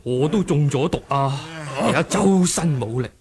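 A blustering old martial arts master for a 1980s Cantonese radio drama. His voice is fairly high and swings widely, exclamatory and scheming, with a crowd heard behind. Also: lively intonation, measured pace, creaky. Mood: placating. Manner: exclamatory, theatrical.

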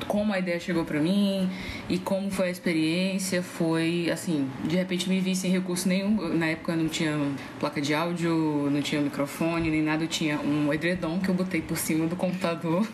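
A woman's voice: on the low side, level tone, a little fast, background noise. A woman with a northeastern Brazilian accent from Ceará, chatting casually and colloquially as a podcast guest. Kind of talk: casual chat.

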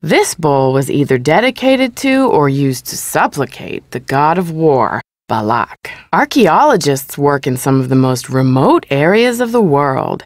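Low-pitched female museum guide. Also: big pitch swings, a little slow, hardly any pauses, no room sound, clean studio sound, full character voice. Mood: instructive. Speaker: museum exhibit narrator. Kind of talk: scripted educational narration in a video game.

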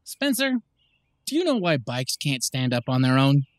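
A man's voice: mid-range voice, very animated, moderate pace, no room sound, studio quality, lightly acted. A man playing a teasing, jokey, easygoing dad in an audiobook. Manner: jokey, casual. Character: playful, teasing, easygoing dad of a young boy. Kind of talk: full-cast audiobook acting.

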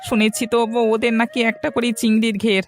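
A man's voice: very high-pitched, monotone, a little fast, soft background music. A man voicing an earnest, anxious younger-brother farmer in a fairy-tale cartoon.

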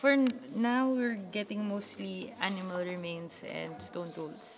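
A woman's voice: medium pitch, steady tone, measured pace. A young woman with a Filipino accent, chatting casually as an archaeologist in an interview and switching between languages. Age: young adult. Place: cave excavation site. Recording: chatter of a busy dig site.

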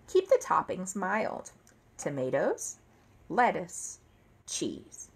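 A woman in her thirties reading a children's picture book aloud at home, expressively, with theatrical emphasis and deliberate phrasing. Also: mid-range voice. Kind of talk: animated read-aloud to children. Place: indoor room at home, seated at a table.

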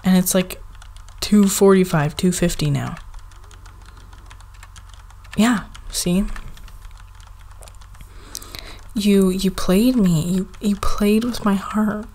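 Woman acting as clingy girlfriend, ASMR roleplay, very unhurried pace, soft halting speech, playful, pleading, affectionate. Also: medium pitch. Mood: wistful, mock hurt. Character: needy, playful, affectionate young girlfriend craving cuddles.

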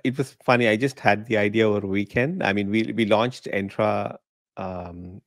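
A middle-aged man from Sri Lanka, a guest on a podcast video call, talking in a relaxed, chatty way with frequent laughs. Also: on the low side, moderate pace, dry recording, clean studio sound. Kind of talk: casual interview chat. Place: home living room. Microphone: podcast mic in frame, video call.

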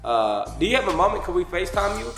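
Young man, roomy sound, halting prank caller. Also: high voice, big pitch swings, vocal fry, fairly bright tone, faint music behind. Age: young adult. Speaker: host making prank phone calls. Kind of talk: casual prank call posing as a booking agent. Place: wood-panelled room indoors.

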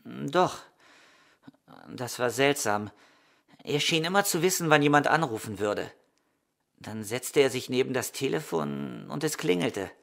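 A man's voice: mid-range voice, animated, measured pace, vocal fry, long pauses, fairly bright tone. A man playing a polite, open young man with burdens, speaking plainly in a crime radio play. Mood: puzzled.

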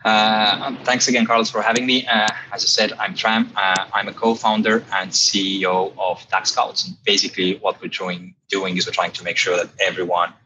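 A man's voice: lowish voice, flat delivery, moderate pace, hardly any pauses, noisy background. A man in his thirties with an Estonian accent, a startup founder speaking on a video call, thoughtful and full of "uh" fillers. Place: home room indoors. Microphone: earbuds on a video call.

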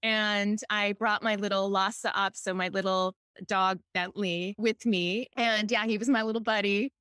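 A woman's voice: mid-range voice, fairly even intonation, moderate pace, a bit bright, smooth, dry recording, clean studio sound. A middle-aged woman from Hawaii talking enthusiastically and chattily as a guest, telling stories in a casual conversational way. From Honolulu, Hawaii. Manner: chatty and enthusiastic, laughs often. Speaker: podcast guest, entrepreneur and author.

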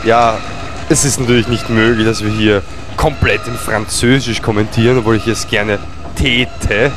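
A man's voice: lowish voice, steady tone, normal speed. A man doing comedic wrestling commentary, joking around and dropping in mock-French phrases.

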